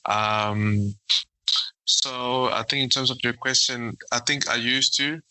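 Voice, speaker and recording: A man's voice: medium pitch, steady tone, slow, pushed and bright, no room sound, poor audio. A man in his thirties from South Africa, answering interview questions hesitantly with restarts and searching for words. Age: 30s. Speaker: guest, aeronautical engineer.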